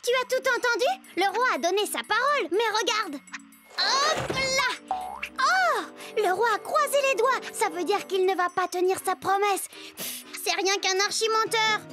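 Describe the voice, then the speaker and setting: Little girl cartoon storyteller, cheerful, playful, confiding, very high-pitched, loud children's music behind. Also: moderate pace. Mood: teasing, knowing. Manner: addresses the young viewer directly, playful.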